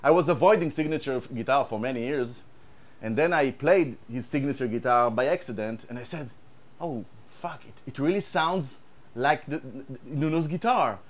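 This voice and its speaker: A man with an Israeli accent, a guitar tech, talking casually and haltingly, repeating words and using many fillers. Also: medium pitch. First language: Hebrew. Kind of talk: casual show-and-tell chat.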